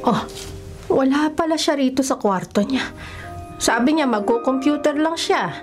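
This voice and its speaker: An actress playing an exasperated nanny who mutters to herself in a Filipino radio horror drama. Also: female voice, high voice, animated, normal speed, over music. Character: grumbling household nanny, exasperated by mischievous children.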